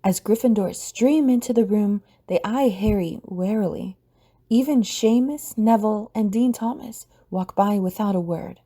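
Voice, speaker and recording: A woman's voice: mid-range voice, a little slow, mellow, slightly rough. A woman narrating a scripted audio drama as storyteller.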